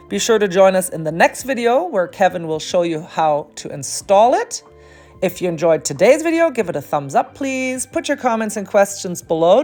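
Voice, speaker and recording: A woman's voice: medium pitch, a little mellow. A woman speaking as a vlog co-host, addressing viewers directly in a chatty, upbeat, casual way. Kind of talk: casual scripted-sounding video outro. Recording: music playing behind the voice.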